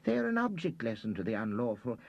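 A man voicing a timid, fussy older gentleman who talks in a hesitant, prim, preachy way. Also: mid-range voice, big pitch swings, fast, gravelly, no room sound, dull audio, voice pushed up.

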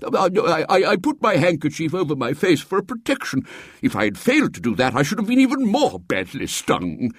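A man's voice: fairly high pitch, huge pitch swings, brisk, husky, no echo, studio quality, voice pushed up, heavily acted. A man voicing a fussy, stammering, pompous elderly bishop in a storybook reading. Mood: pained. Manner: pompous, condescending.

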